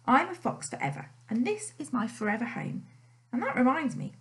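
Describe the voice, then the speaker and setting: A woman's voice: high voice, big pitch swings, brisk, pitched above the performer's own voice. Woman voicing a smug, menacing male fox. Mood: defiant. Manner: growling, snapping, smug threats. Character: sly bullying male fox, cocky blackmailer.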